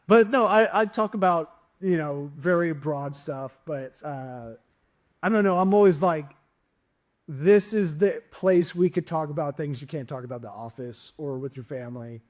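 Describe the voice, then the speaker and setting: A middle-aged man hosts a casual podcast conversation, his voice sitting a little high for a man. He rambles through stories and jokes, restarting his sentences often. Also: normal speed. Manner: rambling, joking, lots of restarts. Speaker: podcast host and stand-up comic. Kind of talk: casual interview chat.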